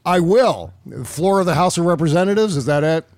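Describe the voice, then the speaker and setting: Male podcast host, higher register, dry sound. Opinionated, emphatic, casual political talk. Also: animated, brisk, a little mellow, no room sound.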